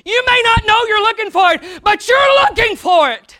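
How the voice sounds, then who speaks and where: A man preaching a sermon in a small church, dropping to quiet talk and then rising into shouted preaching. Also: expressive, moderate pace, no echo, studio quality. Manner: swings from low conversational talk to shouted preaching. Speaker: pastor.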